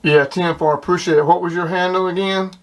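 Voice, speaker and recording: A man's voice: high-pitched, steady tone, fast talker. A man talking casually like a CB radio hobbyist, in plain-spoken radio lingo. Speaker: CB radio operator and video host. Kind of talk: casual CB radio chat and commentary. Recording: clearly noisy, with radio hiss and clicks. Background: radio noise and clicks.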